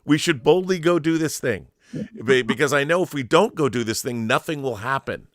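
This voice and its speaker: A man's voice: medium pitch, animated, moderate pace, fairly bright tone, dry recording, studio quality. A man chatting casually and enthusiastically as an interviewer, earnest and emphatic, often repeating words as he restarts his sentences.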